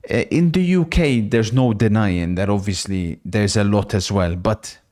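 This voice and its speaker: A man's voice: medium pitch, darkish tone. A man hosting a live football watch-along stream, chatting casually, reacting to the match and reading out viewer messages.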